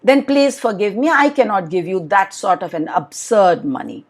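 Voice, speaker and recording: A woman voicing a clever, polite working man from a children's folk tale, who reasons calmly. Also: mid-range voice, moderate pace, husky. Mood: protesting.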